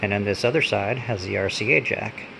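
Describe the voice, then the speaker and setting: A man giving a casual, unscripted hands-on gadget demonstration, halting and pausing as he handles things. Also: on the low side, gravelly. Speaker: product reviewer. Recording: steady background hum and noise.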